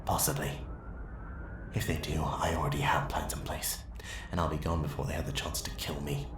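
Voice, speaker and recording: A man playing a calculating Sith Lord in an ASMR roleplay, speaking in a measured, persuasive, commanding way. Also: rumbling low, level tone. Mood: calm, scheming. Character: calculating male Sith Lord, smooth seducer to dark side. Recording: echoey with faint background noise.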